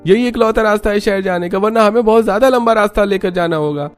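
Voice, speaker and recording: A man's voice: high-pitched, quick pace, smooth, soft background music, voice pushed up, lightly acted. A man voicing an aggrieved young village truck driver in a cartoon story, pleading and complaining. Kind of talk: Hindi cartoon story dialogue.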